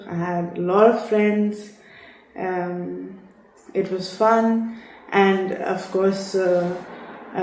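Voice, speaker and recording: Slow, mellow Indian-accented woman. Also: medium pitch, slight background noise. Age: 30s. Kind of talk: personal story told to camera. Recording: echoey room.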